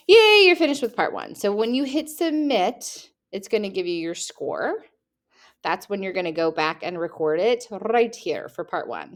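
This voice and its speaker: A young woman teacher reading test questions aloud and rephrasing them playfully for her students, recorded at her computer. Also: medium pitch. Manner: reads each question, then rephrases it playfully.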